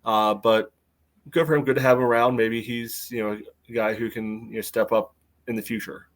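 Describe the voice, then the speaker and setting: An American man giving casual sports analysis in a halting way, often padding with filler phrases. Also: mid-range voice, flat delivery. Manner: halting, frequent "you know". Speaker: podcast panelist.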